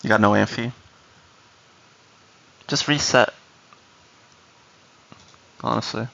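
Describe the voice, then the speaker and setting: A man's voice: medium pitch, slow pace, creaky, sharp tone, poor audio. A man from Brazil whose first language is Portuguese, chatting casually on a live stream, explaining strategy and answering chat.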